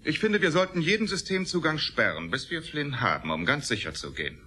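Hard, sharp-voiced corporate boss. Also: male voice, on the high side, very animated, fairly quick, a little hiss or hum. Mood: curt, suspicious. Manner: curt, businesslike, commanding. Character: hard, brusque, authoritative male corporate executive. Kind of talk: German radio play acting.

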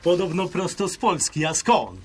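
An actor playing a genial, gallant émigré businessman of about sixty in an audio drama, with a Polish highlander accent and folksy talk sprinkled with English. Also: big pitch swings, moderate pace, slight background noise. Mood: jovial, gallant. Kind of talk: audio drama acting, conversational.

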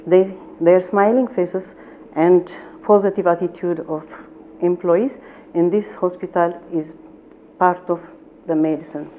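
A middle-aged woman with a low, mellow voice reads a letter aloud, slow and careful with halting pauses. She is seated in a small room, and the recording is dull and lo-fi. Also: steady tone, slight background noise. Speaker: patient's wife.

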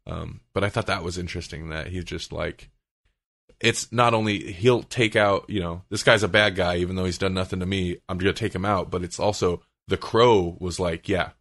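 A man's voice: sits low, steady tone, normal speed, a bit bright, no room sound. A man hosting a podcast, talking casually in a rambling, conversational way as he retells things. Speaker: podcast host.

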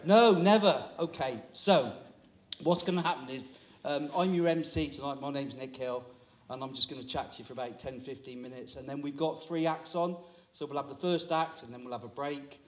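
A man in his sixties working as compere, teasing the audience in a chatty stand-up crowd-work style on a small town hall stage. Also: medium pitch. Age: 60s. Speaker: comedy compere. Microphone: handheld microphone.

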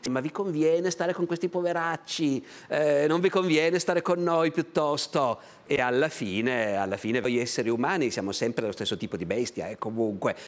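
A man, a historian guest telling stories on a television talk show, animated and gesturing as he explains. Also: fairly high pitch, moderate pace, few pauses. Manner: animated, gestures with both hands while explaining. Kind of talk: lively storytelling on a TV talk show. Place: bright TV studio set. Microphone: lapel mic.

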